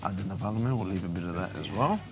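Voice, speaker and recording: A man's voice: sits low, lively intonation, quick pace, music in the background. A man hosting a cooking show, chatty and encouraging, calling everyone mate. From Australia. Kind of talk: cooking show presenting and narration.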